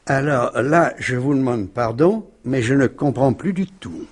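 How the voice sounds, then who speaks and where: An actor playing a middle-aged, urbane and defiant murder suspect in a radio mystery, with an ironic, exasperated manner. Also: male voice, mid-range voice, animated, a little slow. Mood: indignant. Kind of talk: 1950s French radio drama acting.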